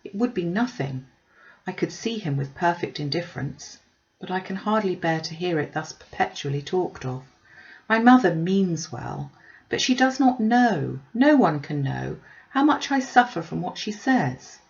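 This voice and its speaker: A gentle young lady read by a woman from a classic novel. She is earnest and restrained, speaking in measured, pained phrases. The audio is slightly dull. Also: fairly low pitch, big pitch swings, vocal fry.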